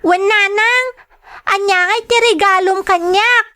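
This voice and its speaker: A woman's voice: very high voice, fairly even intonation, measured pace, sharp tone, exaggerated voice. A woman with an Ilocano accent voicing an eager, affectionate little daughter in an audio drama, childlike in manner. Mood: excited.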